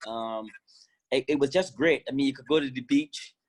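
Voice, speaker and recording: A man from Barbados in his thirties speaks as an interview guest over a call. He sounds enthusiastic and laughs often, with a voice of ordinary pitch. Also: moderate pace. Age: 30s. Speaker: guest, former fast bowler.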